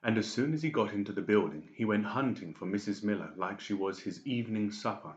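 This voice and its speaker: Male storyteller for dramatised audiobook, lowish pitch, roomy and slightly muffled capture. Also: lively intonation, fairly quick. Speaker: audiobook narrator. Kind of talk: dramatic scripted narration. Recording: dull, echoey room recording.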